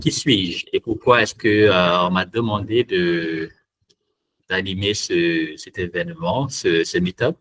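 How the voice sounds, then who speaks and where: A man's voice: on the low side, fairly bright tone. A man from Cameroon hosting a live online tech meetup, talking hesitantly with many fillers and restarts. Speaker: meetup host and trainer.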